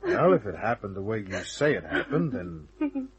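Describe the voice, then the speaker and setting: An actor playing a tough, cynical private detective in an old-time radio drama, talking in a wry, casual, laconic way with a rough, husky voice. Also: male voice, on the low side, huge pitch swings, normal speed, muffled recording, a crowd in the background. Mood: skeptical, wry. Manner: wry, casual, hard-boiled.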